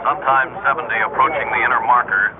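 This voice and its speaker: An actor in a classic radio drama playing a matter-of-fact pilot speaking procedurally over aircraft radio. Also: male voice, mid-range voice, fairly even intonation, quick pace. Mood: businesslike. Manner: clipped, procedural. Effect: aircraft radio transmission. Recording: very noisy, tinny radio with engine drone.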